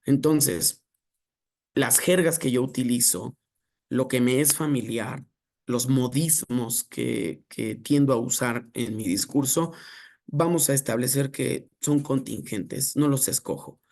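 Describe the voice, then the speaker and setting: A man giving an academic lecture, speaking in a measured, reflective way with filler pauses. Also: mid-range voice, gravelly, a little mellow.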